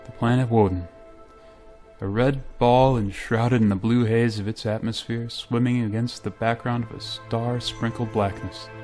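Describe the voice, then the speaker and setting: An actor playing a weary, stoic spaceship pilot who narrates a science-fiction radio drama in a terse, grave, restrained manner. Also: male voice, mid-range voice, fairly even intonation, a little slow, a little mellow, soft background music, natural voice. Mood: somber narration.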